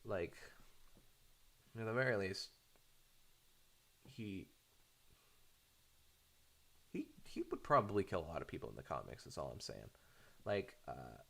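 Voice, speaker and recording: A man chatting casually in a halting, hesitant way, rambling off on tangents. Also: low-pitched, wildly swooping pitch, vocal fry, bright, forceful tone, breathy edge. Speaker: fandub creator talking off the cuff.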